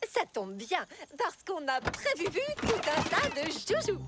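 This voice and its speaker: A woman voicing an indulgent, expressive female pet owner in a children's cartoon. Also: very high voice, measured pace, very noisy, heavily acted. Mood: delighted. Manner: expressive, exclamatory. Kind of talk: animated children's cartoon acting. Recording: loud music behind the voice.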